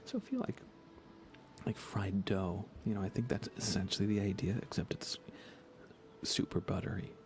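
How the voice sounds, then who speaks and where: A soft-spoken man chatting casually on an ASMR gaming livestream, rambling unhurriedly and often slipping toward a whisper. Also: sits low, steady tone, normal speed, long pauses, a little hiss or hum. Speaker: livestreamer playing a video game.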